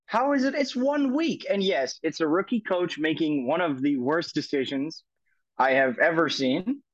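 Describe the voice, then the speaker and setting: Stammering, animated young man. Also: normal speed, no room sound. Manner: animated, stammering restarts, rising pitch when arguing. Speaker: podcast co-host. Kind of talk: casual football podcast chat. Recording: dull dry lo-fi sound.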